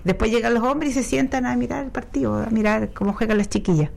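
A woman's voice: a little high, fast, gravelly, darkish tone. A Chilean woman, a guest on a radio interview, chatting with frequent restarts. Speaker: guest, co-owner of a sports venue. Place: radio studio. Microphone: studio microphone.

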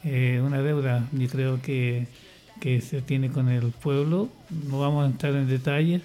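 A man's voice: medium pitch, steady tone, unhurried, warm tone. An older man speaking in casual radio chat, hesitant and rambling, with many restarts and fillers. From Chile. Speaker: radio guest, church choir leader.

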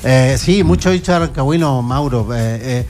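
A man's voice: mid-range voice, normal speed, darkish tone, a little hiss or hum. A man with a Chilean accent hosting a live football broadcast, chatting conversationally and stating his opinions plainly. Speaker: sports radio host.

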